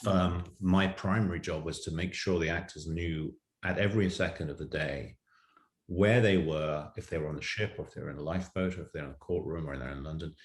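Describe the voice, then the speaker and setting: A man's voice: extremely low pitch, steady tone, fairly quick. A man explaining his production work as a co-director in an interview, precise and detailed. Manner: detailed, precise, lists examples. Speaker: audio drama writer and co-director. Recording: roomy echo.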